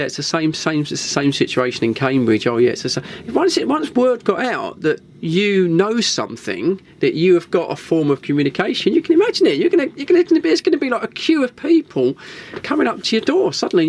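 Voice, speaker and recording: An Englishman chatting casually and unscripted to viewers as a video host, rambling and thinking aloud, repeating himself and tagging questions on the end. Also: sits high. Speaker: video host and CB radio hobbyist. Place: indoors in a small radio shack.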